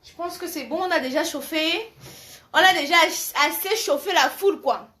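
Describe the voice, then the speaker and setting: A woman's voice: high voice, moderate pace, pushed and bright. A woman hosting a live stream, chatting casually and familiarly with her viewers and often repeating her words.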